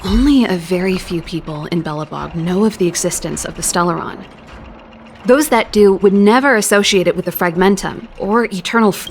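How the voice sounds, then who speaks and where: An actress voicing a wry, candid young exiled scientist in a video game, talking in a reflective, articulate way. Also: female voice, lowish voice, slight background noise, lightly acted. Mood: explanatory. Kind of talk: scripted dramatic game dialogue. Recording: loud background music under the voice. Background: game cutscene music.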